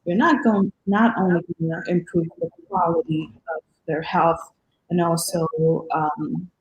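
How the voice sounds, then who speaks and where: A woman speaks about her work, earnest and dotted with ums. Her voice sits fairly low for a woman. The room around her rings noticeably. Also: a little slow, reverberant, dull audio. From Dominican Republic. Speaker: physician guest. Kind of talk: podcast interview.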